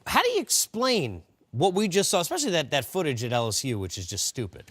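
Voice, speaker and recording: Guy hosting sports chat, kinda high voice, swings up and down a lot, dry studio sound. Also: wildly swooping pitch, quick pace, a bit bright, slightly rough, no echo. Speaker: sports show host. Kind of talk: animated studio sports discussion.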